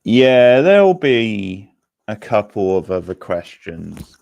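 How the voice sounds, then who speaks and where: A man's voice: on the low side, very animated, slow, vocal fry, darkish tone, no room sound, highs cut off. A man hosting a quiz, chatting casually and informally with viewers on a livestream. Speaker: quiz host.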